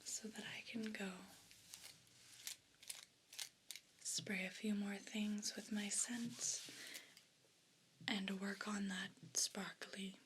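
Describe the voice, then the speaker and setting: A woman's voice: mid-range voice, flat delivery, noisy background, lightly acted. Woman whispering as a haughty evil pet cat. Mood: condescending. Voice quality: breathy whisper. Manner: imperious, slow and deliberate condescension. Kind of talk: ASMR role play.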